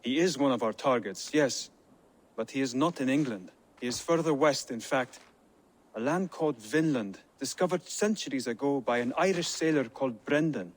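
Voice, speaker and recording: A man's voice: mid-range voice, normal speed, close to an everyday voice. A man voicing an earnest informant of a warrior brotherhood in a video game, formal and careful in speech. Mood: serious. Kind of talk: dramatic video game dialogue.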